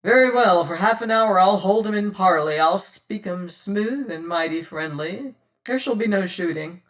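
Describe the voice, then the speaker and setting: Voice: medium pitch, lively intonation, moderate pace, fairly bright tone, recorded from a distance, natural voice. A reader voicing a bold, cunning male pirate captain who talks hearty old-fashioned sea talk. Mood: commanding.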